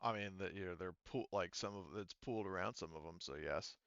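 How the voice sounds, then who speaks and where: A man narrating a tabletop roleplaying game as game master in a casual, halting, explanatory way. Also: sits low, gravelly, a bit bright, no echo, highs cut off.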